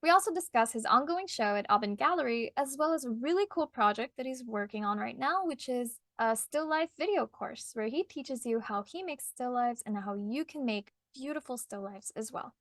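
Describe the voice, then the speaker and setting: A woman's voice: a little high, expressive, moderate pace, hardly any pauses, fairly bright tone, no echo, studio quality. A young adult woman hosting a podcast interview, chatty and bubbly, laughing often. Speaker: podcast host. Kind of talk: casual interview chat.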